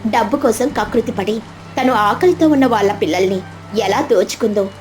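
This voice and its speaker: A woman reading a scripted children's story as storyteller, with dramatic, expressive delivery. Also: medium pitch, moderate pace. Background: faint background music.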